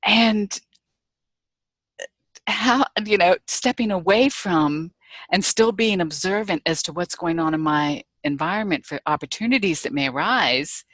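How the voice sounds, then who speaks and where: A woman's voice: fairly low pitch, expressive, measured pace, fairly bright tone, no room sound. A woman sharing her thoughts in a rambling, reflective way on a group video call.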